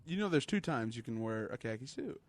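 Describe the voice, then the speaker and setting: A man's voice: medium pitch, big pitch swings, brisk. A man co-hosting a podcast in casual chat, making firm pronouncements and teasing dryly. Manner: opinionated, dry teasing, makes firm pronouncements. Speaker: podcast co-host. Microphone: close podcast microphone.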